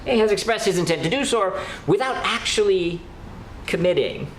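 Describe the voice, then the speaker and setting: A man chats through news as a show co-host. His delivery is animated, with pitch that moves around a lot, and he is playfully opinionated. The room adds echo, with faint noise underneath. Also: moderate pace. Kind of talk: casual news chat.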